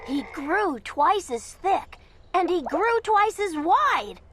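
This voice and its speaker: A woman reading a children's picture book aloud in an expressive, theatrical storytelling manner, over background noise. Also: very high-pitched, slow pace. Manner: theatrical, dramatic delivery for children.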